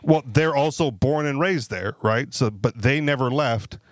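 A man's voice: medium pitch, unhurried, no room sound, studio quality. Casual man asking "right?" often. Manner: conversational, frequent fillers and tag questions. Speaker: podcast co-host. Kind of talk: casual panel discussion.

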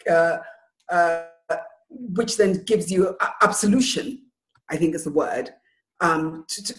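A man speaking quickly in a radio interview, searching for words and restarting phrases. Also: a little high, echoey room, low-quality recording. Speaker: councillor.

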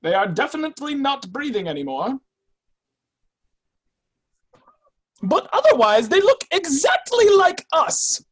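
A woman's voice: high-pitched, normal speed. A woman playing an argumentative, easily worked-up office worker in a play reading. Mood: astonished. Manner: excitable.

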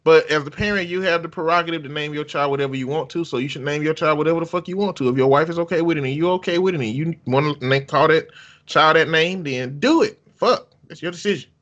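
A man's voice: fairly high pitch, quick pace, fairly bright tone, lo-fi sound. A man co-hosting a talk show, giving blunt, profane opinions. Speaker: podcast co-host. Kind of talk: casual opinionated chat.

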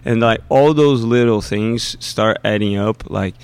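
A man's voice: lowish voice, measured pace, a little mellow, clear, resonant tone, no room sound. A man, a youth soccer coach, talking casually on a podcast and often repeating himself as he thinks aloud.

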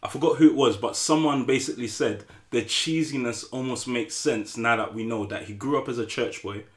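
A young man, co-hosting a podcast in animated, slangy casual chat. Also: mid-range voice. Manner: animated, slangy, quick to laugh. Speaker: podcast co-host. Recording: roomy echo.

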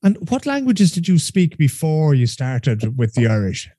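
A male podcast host asks a guest friendly, easygoing questions in a mellow, warm voice. The sound is dry and close, with no room echo. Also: on the high side, very animated, moderate pace, breathy edge. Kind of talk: interviewing a guest.

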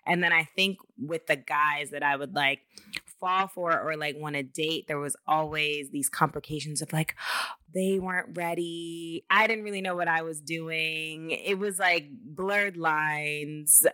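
A woman in her late twenties, a podcast guest, telling candid stories in a lively, animated way. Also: sits low, measured pace, clean tone, no echo. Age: late 20s. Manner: candid, animated storyteller, swears. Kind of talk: casual podcast chat.

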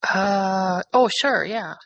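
A woman's voice: mid-range voice, drawn-out words, fairly bright tone, no room sound. Tentative woman interviewer on lo-fi radio. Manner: tentative, agreeable listener. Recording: dull lo-fi radio sound.

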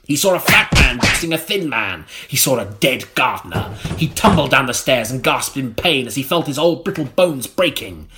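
A man narrating an audiobook in a theatrical, melodramatic style, with dramatic pauses. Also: mid-range voice, sing-song, music in the background.

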